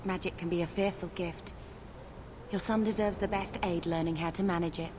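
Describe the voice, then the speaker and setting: An actress voicing a gentle, compassionate companion mage in a video game. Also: female voice, lowish voice, fairly even intonation, normal speed, noisy background, close to an everyday voice. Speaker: game character voice actor.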